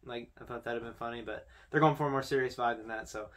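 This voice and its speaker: A man's voice: medium pitch, fairly even intonation, fast, fairly bright tone. A young man hosting a video with casual, informal commentary, talking conversationally to camera. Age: young adult. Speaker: video host. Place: small indoor room with posters.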